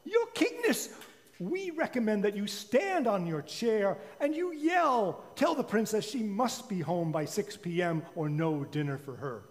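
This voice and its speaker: A man's voice: sits high, big pitch swings, measured pace, pitched above the performer's own voice. A man voicing solemn old royal advisors in an officious, declamatory manner for a story. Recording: reverberant church hall.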